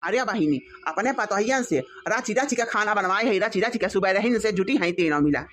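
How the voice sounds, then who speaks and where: A woman plays a proud village mother-in-law from a cartoon comedy. Her voice sits at a middling pitch. She talks emphatically in an Awadhi accent, boastful and hospitable, often repeating herself. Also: lively intonation, brisk, fairly bright tone, slight background noise, natural voice. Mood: proud, placating.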